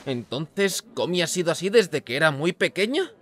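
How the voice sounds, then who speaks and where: A man's voice: fairly high pitch, very animated, normal speed, a bit bright, slight background noise. A man with an accent from Spain playing an earnest, easily flustered teenage schoolboy in an anime fan dub. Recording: music bed under voice.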